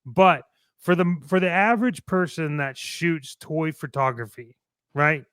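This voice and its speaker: Clear, higher-pitched man, blunt talk show host. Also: unhurried, fairly bright tone, no echo, studio quality. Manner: emphatic, blunt, swearing. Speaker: co-host of a toy collecting show. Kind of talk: opinionated casual talk.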